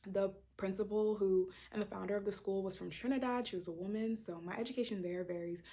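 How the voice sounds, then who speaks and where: A woman in her early twenties from Brooklyn, New York, talks casually straight to camera. Her speech is chatty and loose, full of fillers, and she swings her pitch up sharply to stress key words. She sounds close to the mic. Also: a little fast. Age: 20s. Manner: chatty and animated, lots of fillers, sudden loud pitch jumps for emphasis. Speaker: vlogger introducing her channel. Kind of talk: casual direct-to-camera chat.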